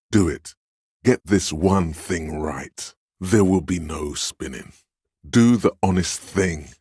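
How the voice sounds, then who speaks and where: An actor playing a commanding, domineering inner voice of authority in a video game, speaking with a very deep, resonant bass in a measured, imperious manner. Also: male voice, breathy edge, dry recording, clean studio sound, full character voice. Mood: urgent, goading. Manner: imperious, measured, scolding and goading. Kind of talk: dramatic game narration, inner-voice lines.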